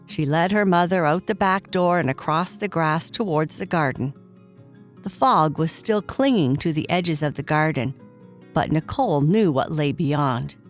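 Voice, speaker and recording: A woman storyteller narrating a scripted bedtime story for children. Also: low-pitched.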